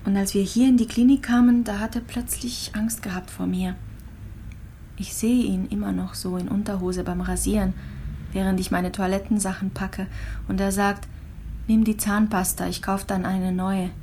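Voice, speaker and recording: A woman's voice: mid-range voice, little pitch movement, normal speed, warm tone, a little hiss or hum, close to an everyday voice. Actress as a lonely, fragile woman confiding. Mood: hushed longing. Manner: hesitant, intimate, confiding. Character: lonely, fragile female patient confiding her longing. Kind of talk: intimate radio play acting.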